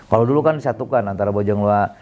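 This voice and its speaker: A middle-aged man from Bandung, a city council member, explaining his work in a relaxed interview, with many fillers and self-corrections. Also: on the low side, fast, lo-fi sound. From Bandung, Indonesia. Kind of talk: conversational interview.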